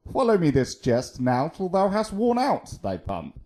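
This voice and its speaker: A man playing a young, mocking, bawdy gentleman wit in a theatrical, teasing manner, in a Shakespearean radio drama. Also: on the high side, wildly swooping pitch. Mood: playful teasing. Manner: theatrical, teasing, drawn-out flourishes.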